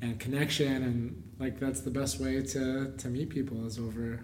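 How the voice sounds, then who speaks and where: A male podcast host in an echoey room, a little away from the mic. He chats casually with halting phrasing and little rise or fall in pitch. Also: flat delivery, darkish tone. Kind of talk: casual chat. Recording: roomy, distant mic, background noise.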